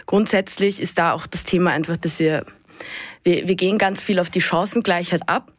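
A woman teacher speaks as a talk show guest. She talks candidly, with many pauses and restarts. Her voice sits slightly low, dry and close. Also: fairly even intonation, no echo.

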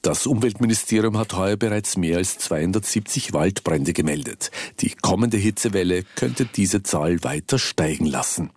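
A man reading a news report in a steady, formal manner. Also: low voice, moderate pace, few pauses, breathy edge, dry recording.

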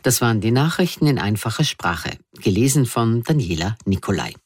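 A man reading scripted news in simple language in a measured, structured manner. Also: mid-range voice, very animated. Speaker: newsreader.

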